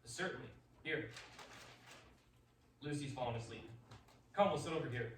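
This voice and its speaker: A man playing an authoritative, rational doctor in a radio play, brisk and a little flustered in manner. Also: mid-range voice, natural voice. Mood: grave, reasoning. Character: authoritative, rational doctor and host, uneasily polite. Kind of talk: theatrical radio drama acting. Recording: echoey room, distant mic, faint noise.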